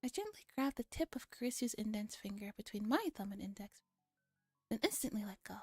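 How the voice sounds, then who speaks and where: Woman playing a dramatic paranoid young man, soft and echoey. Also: mid-range voice, big pitch swings, fairly quick, slightly rough, clean studio sound, faint music behind. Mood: wary, brooding. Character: dramatic paranoid young man, self-styled mad scientist. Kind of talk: visual novel read-aloud.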